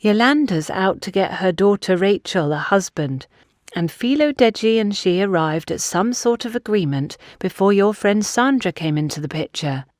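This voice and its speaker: A woman's voice: medium pitch, lively intonation, normal speed, a little mellow, dry recording, clean studio sound, close to an everyday voice. A woman voicing an insistent, gossipy older woman in an audiobook, emphatic and confiding. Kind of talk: audiobook character dialogue.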